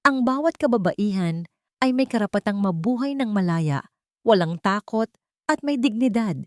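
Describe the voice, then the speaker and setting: A woman voicing a resolute, dignified woman survivor in a radio-style story, firm and clear. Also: medium pitch, animated, moderate pace, darkish tone, no echo, clean studio sound, voice pushed up, close to an everyday voice. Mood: confident, resolute.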